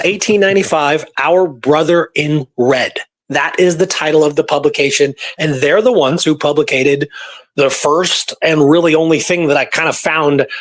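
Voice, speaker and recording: A man with a somewhat high voice chats through historical research. He is informal and conversational, telling it like a story to a friend as a guest researcher. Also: fairly bright tone. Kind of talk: casual conversational history discussion.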